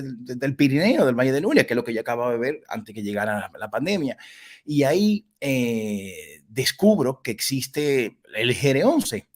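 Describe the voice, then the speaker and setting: Man, Dominican accent, interview host, talkative, effusive, halting delivery, mid-range voice. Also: mid-range voice, darkish tone. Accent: Dominican Spanish. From Dominican Republic. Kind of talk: casual live interview, personal storytelling.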